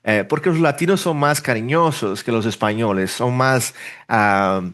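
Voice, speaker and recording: A man from Brazil, a native Portuguese speaker, being interviewed in a relaxed way, thinking aloud with restarts and fillers. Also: medium pitch, normal speed. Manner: rambling, self-correcting, thinks aloud with fillers. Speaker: interpreter and language teacher, podcast guest. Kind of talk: casual interview conversation.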